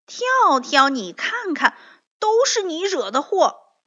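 A woman voicing an excitable, hot-tempered young rabbit in a children's story, with an emphatic, child-like delivery. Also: slow, lo-fi sound, exaggerated voice. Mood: angry, blaming. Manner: loud, high, emphatic.

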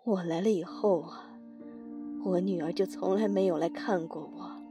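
A woman's voice: fairly low pitch, steady tone, moderate pace, far from the mic, music in the background, natural voice. A woman playing a confused, wistful elderly mother in an audio drama, rambling in a childlike way. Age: older. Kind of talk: Chinese audio drama acting.

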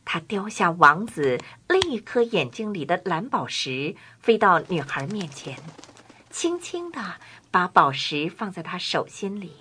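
A woman narrating a children's fairy tale from a script, with an expressive storytelling manner. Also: fairly low pitch, measured pace, slight background noise. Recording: clear music bed. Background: music.

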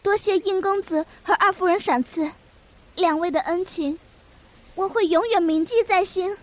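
A woman's voice: extremely high pitch, normal speed. A woman playing a timid, deferential young servant girl in a costume radio drama. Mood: polite, grateful. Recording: echoey long reverb, far off, music behind.

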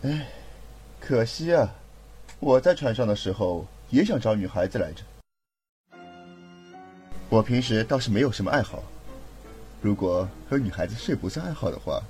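A man's voice: fairly low pitch, animated, normal speed, pauses often, darkish tone, faint music behind, natural voice. An actor playing a tipsy, laid-back young man adrift at sea in an audio drama, wry and casual in manner. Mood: sheepish.

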